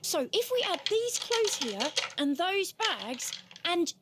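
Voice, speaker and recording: A high-voiced, humble young boy. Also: big pitch swings, slow pace, heavy background noise, exaggerated voice. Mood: polite, shy. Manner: deferential, hesitant, polite. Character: humble, timid young enslaved boy, quick at sums. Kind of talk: video game audio drama acting.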